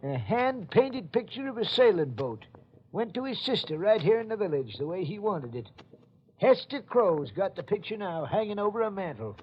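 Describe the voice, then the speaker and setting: An actor in a 1940s radio drama playing a chatty, folksy old record keeper in a village, on a dull old recording. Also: male voice, sits high, big pitch swings, normal speed, slightly rough, full character voice. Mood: matter-of-fact. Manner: folksy rustic speech.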